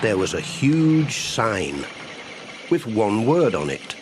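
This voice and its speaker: A man narrates a children's story from a script. He takes his time, and his pitch moves expressively as he reads. The recording carries slight background noise. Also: sing-song, slow pace. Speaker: audiobook narrator.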